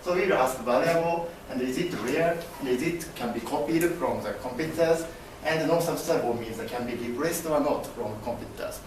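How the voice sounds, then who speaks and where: A young man from Japan with a Japanese accent gives a class presentation as a business student, halting and filling pauses as he explains. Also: mid-range voice, noisy background. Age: young adult. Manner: halting, many fillers and restarts, gestures broadly. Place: classroom with projector screen. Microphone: far camera. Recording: echoey classroom from far off.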